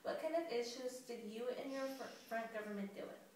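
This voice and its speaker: A woman's voice: a little high, fairly quick, a little mellow. A woman interviewer hosting a scripted mock news interview. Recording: very echoey, noisy, distant mic.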